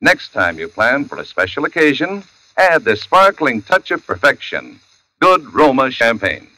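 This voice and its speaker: A man delivering a polished, emphatic commercial pitch in 1940s radio announcer style. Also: very animated, measured pace, sharp tone, dry recording, poor audio.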